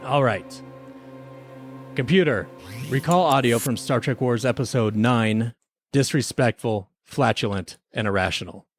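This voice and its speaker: A man's voice: mid-range voice, unhurried, faint music behind. A man hosting a podcast that mixes casual chat with comic radio drama.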